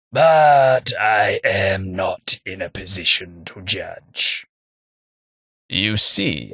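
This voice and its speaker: An actor playing a sardonic, well-spoken tithe collector in a video game, with a husky, understated delivery and dry humour. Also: male voice, sits low, a bit bright, no echo, exaggerated voice. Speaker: game companion character. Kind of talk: game voice lines.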